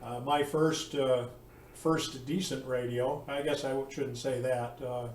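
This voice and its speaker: Roomy distant recording, man, medium pitch, amateur radio operator, casual on-air chat, halting phrasing. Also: steady tone. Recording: roomy, distant mic with faint noise.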